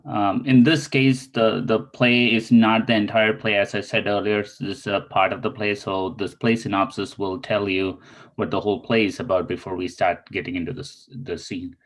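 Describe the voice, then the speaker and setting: A man reading out a synopsis and stage directions as the narrator of a play table read. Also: medium pitch.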